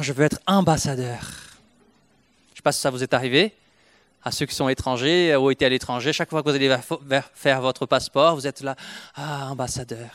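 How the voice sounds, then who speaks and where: A man from Brazil giving an earnest church testimony in French, halting and restarting often as he speaks. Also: a little high, fairly bright tone, no echo.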